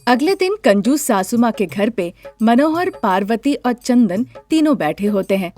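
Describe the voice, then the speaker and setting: A woman's voice: a little high, big pitch swings, unhurried, darkish tone, faint music behind. A woman narrating a scripted moral story as the storyteller.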